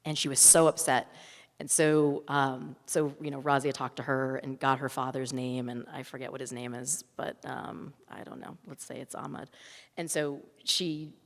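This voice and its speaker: Low-voiced woman in a reverberant hall. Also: fairly even intonation, normal speed. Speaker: film director answering audience questions. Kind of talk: festival Q&A, conversational storytelling. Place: large reverberant hall at a public event. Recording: echoey hall with long reverb.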